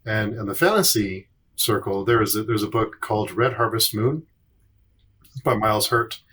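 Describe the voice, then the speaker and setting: A man co-hosting a podcast in casual chat, halting and often repeating his phrases. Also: lowish voice, a bit bright, slight background noise. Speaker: podcast host.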